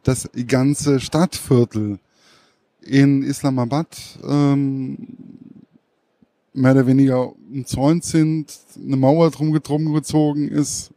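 A man hosts the interview, working his way slowly and hesitantly toward each question. His voice is soft and mellow, and his delivery is unhurried. The recording is close and completely dry. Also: mid-range voice, flat delivery, no echo. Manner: slow, searching, hesitant phrasing. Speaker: interviewer, host.

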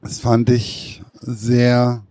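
A man interviewing a guest, phrasing his questions slowly and hesitantly, searching for words. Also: mid-range voice, monotone, mellow, no echo. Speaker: interviewer, host.

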